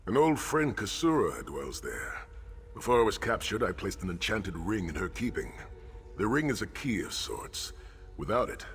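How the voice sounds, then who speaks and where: An actor playing an honorable warrior sage in a fantasy game, speaking in a measured, formal, earnest way. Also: male voice, sits low, fairly bright tone, full character voice. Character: solemn, honorable male warrior sage, gently wry. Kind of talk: fantasy video game dialogue.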